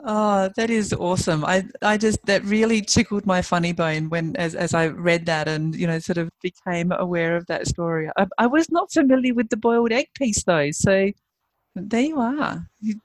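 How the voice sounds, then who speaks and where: A woman's voice: medium pitch, moderate pace, dry recording. Effusive woman hosting interview. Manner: effusive, enthusiastic, laughs easily. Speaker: podcast host. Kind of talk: upbeat interview.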